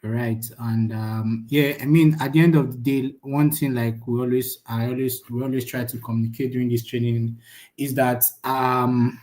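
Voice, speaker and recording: A man's voice: medium pitch, normal speed. Chatty young man teaching online. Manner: chatty, frequent "right?" tags, lively jumps in loudness. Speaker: online course instructor. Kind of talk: casual live-stream teaching.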